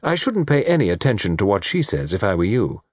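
A man voicing a suave young foreign gentleman in an audiobook, theatrical, easy and mockingly defiant. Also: lowish voice, big pitch swings, brisk, slightly rough, no echo, clean studio sound. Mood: forced lightness. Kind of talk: audiobook character dialogue.

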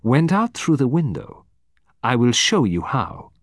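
A man playing a composed, formal detective from abroad, speaking deliberately with dramatic emphasis in an audiobook. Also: medium pitch, sing-song, dry recording, clean studio sound, pitched above the performer's own voice. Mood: firm, revealing. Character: composed, precise foreign male detective, quietly theatrical.

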